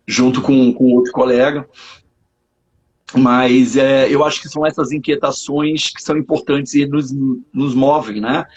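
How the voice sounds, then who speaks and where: A man, hoarse, speaking as a psychologist guest in a casual live interview, hesitant and full of restarts. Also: medium pitch, darkish tone. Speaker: psychologist and sex therapist guest.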